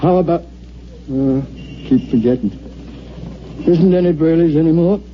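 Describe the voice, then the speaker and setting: An actor playing a seasoned, stubborn newspaper editor in an old-time radio drama, brusque and wry. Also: male voice, a little high, normal speed, lo-fi sound, a little hiss or hum, close to an everyday voice. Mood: weary, wry.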